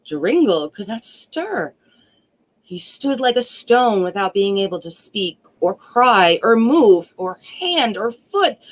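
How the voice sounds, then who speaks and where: A woman reads a fairy tale in a mid-pitched voice. She takes her time, with a slow and deliberate pace. The delivery is dramatic, with very animated intonation. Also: poor audio. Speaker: storyteller. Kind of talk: expressive fairy-tale narration.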